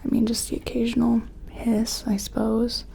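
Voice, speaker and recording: A woman playing a timid, awed scientist in a sci-fi ASMR roleplay, whispering and murmuring gently with hesitant, musing phrasing. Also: on the high side, monotone, moderate pace, slight background noise. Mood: awed, pondering. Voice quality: breathy whisper mixed with soft, creaky murmur.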